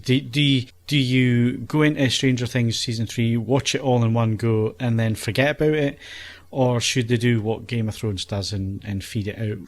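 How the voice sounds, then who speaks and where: A man chats as a podcast host. His voice is average in pitch. He puts questions to his colleagues in an informal, conversational way. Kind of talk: casual chat, posing questions.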